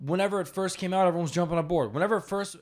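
Male podcast co-host, higher-pitched, casual and foul-mouthed, quick to argue. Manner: crude, profane, argumentative. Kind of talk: casual comedy banter.

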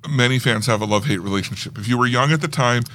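A man's voice: medium pitch, fast, sharp tone, a little hiss or hum. A man co-hosting a casual chat show, explaining fan lore at length in a geeky way. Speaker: podcast co-host reviewing film news.

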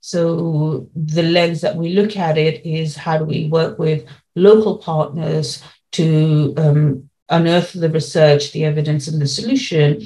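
A woman giving explanatory interview answers as a policy lead, laying out points in measured, formal terms. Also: low voice, fairly even intonation, warm tone, poor audio.

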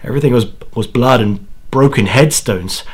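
A man playing an anguished, dying old grandfather in a first-person horror monologue, speaking haltingly and dramatically with repeated words. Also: medium pitch, husky, lightly acted. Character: anguished dying old grandfather confessing his terrible bargain.

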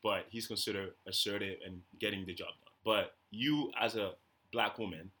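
A young Canadian man hosting a podcast, talking emphatically in casual conversation with a naturally loud voice. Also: on the low side, fairly even intonation, normal speed, slightly rough. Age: young adult. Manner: emphatic, says he has a loud voice. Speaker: podcast host.

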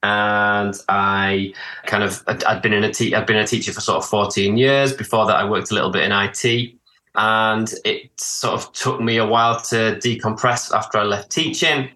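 A man from northeast England with a northern English accent, talking as a podcast guest in a low, bright, forward voice. He talks in a halting, thoughtful way and often restarts his sentences. The room around him sounds echoey. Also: fairly even intonation. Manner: hesitant, many restarts and "sort of". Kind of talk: casual interview talk.

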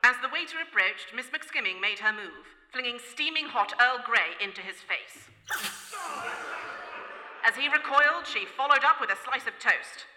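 An actress playing the wry narrator of a comic radio mystery, measured and mock-dramatic. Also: female voice, a little high, lively intonation, pushed and bright, a little hiss or hum. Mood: mock suspense. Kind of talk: scripted radio play narration. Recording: echoey hall, live stage.

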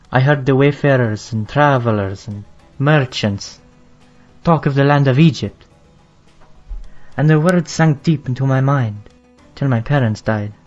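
A man's voice: mid-range voice, a little mellow, lightly acted. A man playing a young merchant from Baghdad, telling his tale in formal, declamatory archaic English for an audio drama. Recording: dull lo-fi sound, faint music behind.

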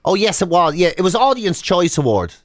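A man's voice: sits high, very animated, fairly quick. A middle-aged Irish man bantering comically as a radio co-host, self-deprecating and complaining with emphatic repetition. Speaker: radio show co-host and comedian.

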